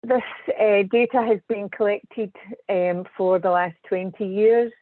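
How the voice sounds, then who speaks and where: Slow-spoken Scottish woman on a call. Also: medium pitch, steady tone, no echo. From Scotland. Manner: measured, hesitant with frequent ums. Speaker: guest speaker, civil engineer. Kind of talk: webinar presentation. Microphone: video call. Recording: tinny dry online call audio.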